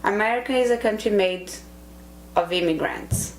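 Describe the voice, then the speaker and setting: A middle-aged woman from Brazil with a Brazilian Portuguese accent, Portuguese being her first language, giving reflective, earnest interview answers and pausing to search for words. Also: medium pitch, a little hiss or hum, low-quality recording.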